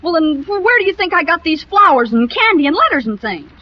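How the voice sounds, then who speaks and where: A woman's voice: very high-pitched, very animated, a little fast. A woman playing a lovestruck, easily offended teenage girl in breathless 1940s radio drama style. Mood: indignant. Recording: muffled, noisy old radio recording.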